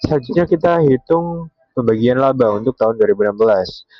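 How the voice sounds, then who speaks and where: A young man walks through material step by step like a student presenter. His voice sits at an ordinary pitch. The recording is very dry and somewhat muffled and lo-fi. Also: expressive, a little fast, dry recording. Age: young adult.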